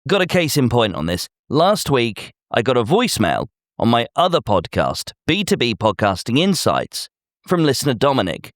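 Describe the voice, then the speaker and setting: A man's voice: mid-range voice, very animated, normal speed, no room sound, studio quality. A man hosting a podcast, delivering scripted commentary in a wry, emphatic way, speaking straight to the listener. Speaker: podcast host.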